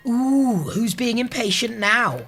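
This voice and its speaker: A man's voice: very high-pitched, lively intonation, slow, sharp tone, soft background music, heavily acted. An actor playing a blithe, scatterbrained male office schemer, eager and a little bossy, in a comedy audio drama. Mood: distracted, cheerful.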